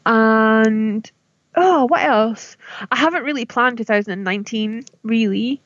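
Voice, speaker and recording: A woman's voice: fairly high pitch, a little slow, dry recording. Bubbly woman on a dull line. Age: 30s. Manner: giggly, enthusiastic. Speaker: guest, hand dyer. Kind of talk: casual chat.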